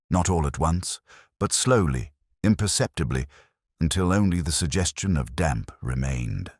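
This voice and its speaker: A man playing a melancholy, reflective police inspector, narrating an audio drama in slow, measured, solemn literary prose with deliberate pauses. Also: rumbling low, a little mellow, no room sound, clean studio sound. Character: melancholy, reflective male police detective inspector telling his story.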